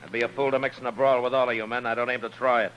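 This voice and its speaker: An actor playing a stern, unflinching American marshal in a radio western, firm, terse and commanding. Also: male voice, mid-range voice, steady tone, rapid-fire, a bit bright, close to an everyday voice. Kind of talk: 1950s radio western acting. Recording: dull old-time radio recording.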